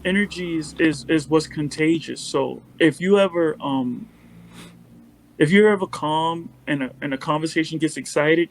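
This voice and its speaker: A man with a mellow voice, a guest on a casual podcast, speaking in a calm, measured and reflective way. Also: on the high side, low-quality recording.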